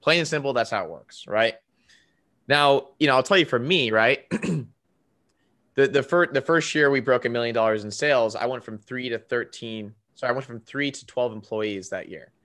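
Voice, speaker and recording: A man's voice: mid-range voice, moderate pace, a bit bright. A man in his thirties hosting a video-call interview, upbeat and salesy, often tagging his sentences with "right?". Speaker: podcast host. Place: home room with a framed poster. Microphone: desk podcast mic on a video call.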